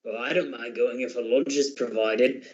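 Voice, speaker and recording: A man's voice: mid-range voice, steady tone, moderate pace, natural voice. A man playing an indifferent, self-serving businessman in a radio drama, gossiping in an offhand way. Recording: muffled lo-fi audio.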